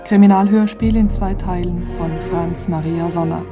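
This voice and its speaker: A woman's voice: on the low side, steady tone, moderate pace, over loud music. A woman announcing the titles and credits of a radio play in a scripted style.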